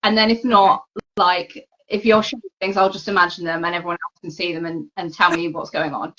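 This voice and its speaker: A woman's voice: fairly low pitch, little pitch movement, a little fast, sharp tone. A woman hosting a live online chat, talking in a friendly, chatty way with many fillers over a video call. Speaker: host. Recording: dull lo-fi video call audio.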